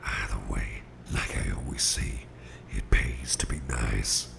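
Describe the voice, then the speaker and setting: A man playing a gruff but tender, protective boss in an ASMR roleplay, murmuring soothingly in a gravelly, breathy half-whisper. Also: very deep voice, steady tone, noisy background, heavily acted. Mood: mildly annoyed. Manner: soothing, unhurried, folksy, murmured. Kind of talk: ASMR roleplay, intimate personal attention.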